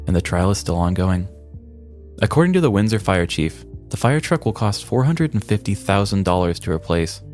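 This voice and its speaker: A man's voice: on the low side, a little mellow. A man co-hosting a podcast, narrating a scripted comedic true-crime story. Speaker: podcast co-host.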